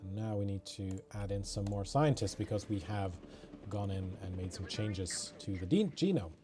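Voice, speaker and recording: A man's voice: on the low side, moderate pace, over music. A man from Norway chatting casually while playing a video game on stream, muttering and joking at himself. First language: Norwegian. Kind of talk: casual gameplay commentary.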